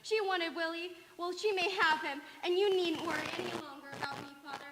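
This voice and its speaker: A woman's voice: very high-pitched, steady tone, fairly quick, crowd noise. A woman playing a bitter, melodramatic young fiancee in a radio drama, with an emphatic theatrical delivery. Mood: furious, betrayed. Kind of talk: stage radio drama acting. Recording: echoey hall, clearly noisy.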